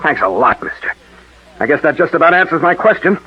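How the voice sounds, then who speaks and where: An actor playing an anxious, dogged police detective in a 1940s radio drama, asking short probing questions. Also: male voice, on the high side, sing-song, brisk. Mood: probing, uneasy. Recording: muffled, rough 1940s radio recording.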